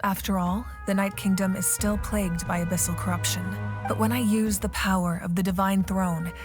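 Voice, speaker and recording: A woman voicing a composed, determined war leader and protector in a video game, calm, earnest and measured. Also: medium pitch, close to an everyday voice. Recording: faint music behind the voice.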